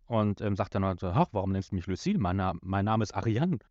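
Man, fairly low voice, podcast co-host, casual analytical chat, dry sound. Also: animated, fast talker, a little mellow, dry recording, highs cut off.

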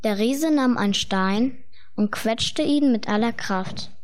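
A woman's voice: on the high side, takes it slowly. A woman reading scripted fairy-tale narration as the narrator of a children's audio play.

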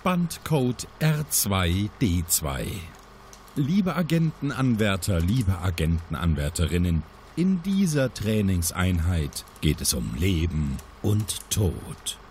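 A man's voice: sits low, sing-song, slow, darkish tone, a little hiss or hum, exaggerated voice. A man voicing a solemn, dramatic taped agency briefing, delivered as a portentous, emphatic scripted announcement.

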